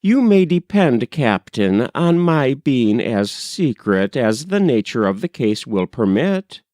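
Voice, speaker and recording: A man's voice: mid-range voice, sing-song, slow pace, darkish tone, dry recording, clean studio sound. A man voicing an obliging, courteous wood-carver in a storybook, speaking with formal, salesmanlike politeness. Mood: assuring. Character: obliging, courteous male wood-carver showing his wares.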